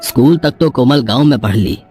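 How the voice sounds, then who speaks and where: A man's voice: mid-range voice, moderate pace, darkish tone, soft background music, close to an everyday voice. A man voicing a middle-aged father and uncle in a moral story, earnest, worried and admonishing.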